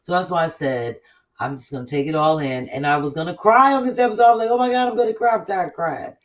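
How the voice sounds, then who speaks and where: Woman, casual chat host, animated, blunt, profane, set back from the mic. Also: fairly low pitch, quick pace, recorded from a distance.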